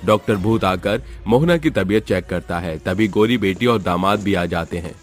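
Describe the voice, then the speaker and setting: A man's voice: low-pitched, normal speed. A man reading a scripted story as its narrator. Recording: music behind the voice. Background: background music.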